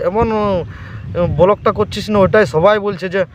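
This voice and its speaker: A man's voice: sits high, fairly even intonation, normal speed. A man with a Purulia Bengali accent making a prank phone call in casual chat, needling and repeating himself. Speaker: prank caller. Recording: dull lo-fi sound with clear background noise.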